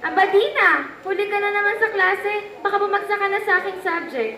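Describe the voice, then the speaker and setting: A woman's voice: extremely high pitch, brisk, sharp tone, exaggerated voice. A woman playing a strict, commanding schoolteacher in a puppet show. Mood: stern, instructing. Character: strict female schoolteacher leading class exercise. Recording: echoey, distant, noisy room.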